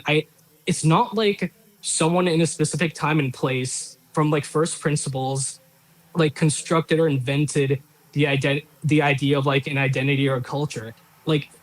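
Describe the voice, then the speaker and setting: A man's voice: on the high side, rough recording. Man politely pushing back in debate. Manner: questioning, politely disagreeing. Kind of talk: casual political discussion.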